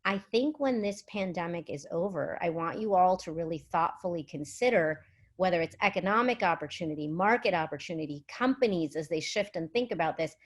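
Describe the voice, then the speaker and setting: A woman's voice: lowish voice, animated, brisk. Middle-aged woman presenting, articulate and emphatic. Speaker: physician and guest speaker. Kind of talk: virtual summit presentation, conversational.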